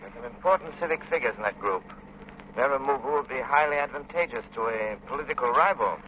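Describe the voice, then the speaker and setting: An actor playing a suave, self-assured gentleman sleuth in a 1930s radio drama, with urbane, deliberate, formal diction. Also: mid-range voice, lively intonation, natural voice. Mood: cool, reasoning. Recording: noisy, dull old radio broadcast.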